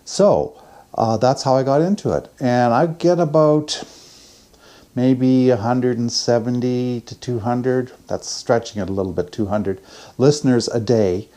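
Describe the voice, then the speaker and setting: A man's voice: mid-range voice, measured pace, mellow. An older man chatting casually in a daily vlog, with a folksy, rambling, self-deprecating way of talking. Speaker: vlogger.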